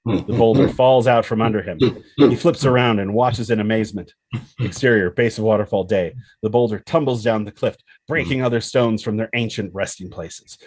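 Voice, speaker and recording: A man's voice: lowish voice, normal speed, darkish tone, no room sound. A man narrating a screenplay, reading out scene headings and action descriptions as scripted storytelling.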